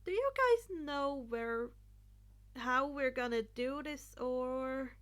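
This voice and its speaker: Woman playing a loud, easygoing teenage boy. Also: high voice, lively intonation, takes it slowly, full character voice. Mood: unsure.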